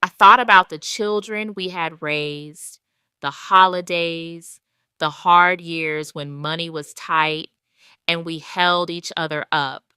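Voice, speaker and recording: A woman narrating a scripted first-person dramatic story in a measured, deliberate way. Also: lowish voice, fairly even intonation, bright, forceful tone, smooth, no echo, studio quality.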